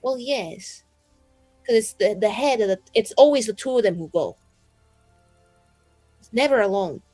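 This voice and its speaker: A woman's voice: a little high, expressive, moderate pace, a little mellow, no room sound. A woman in casual roleplay chat as a tabletop game player, with a flippant, rambling, slangy way of talking. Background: background music.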